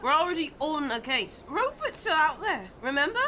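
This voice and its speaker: A woman's voice: high voice, very animated, moderate pace, bright, forceful tone, slight background noise, heavily acted. A woman playing a bossy, upbeat, dutiful young child sleuth in an audio drama.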